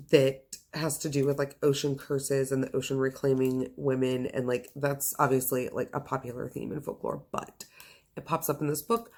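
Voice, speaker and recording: Woman, low voice, casual book reviewer, enthusiastic, gushing, emphatic phrasing, chatty vlog delivery. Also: a little mellow.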